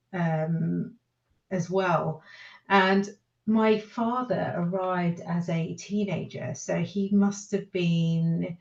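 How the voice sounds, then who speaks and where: A British woman telling a personal story as a podcast guest, reflective and halting in her delivery. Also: lowish voice, gravelly. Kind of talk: personal storytelling in a panel discussion. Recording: echoey, dull lo-fi sound.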